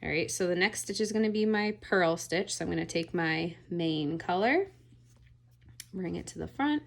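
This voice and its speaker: A woman giving a relaxed, step-by-step knitting tutorial, often checking in with "all right?". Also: lowish voice, moderate pace, gravelly. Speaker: knitting instructor.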